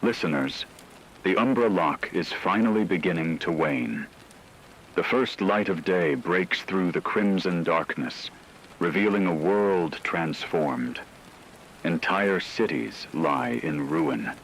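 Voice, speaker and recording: A man's voice: deep voice, animated, takes it slowly, creaky, a bit bright, a little hiss or hum, rough recording. A man reading a scripted emergency radio broadcast as a newscaster, in a grave, solemn manner. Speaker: radio newscaster in a horror story.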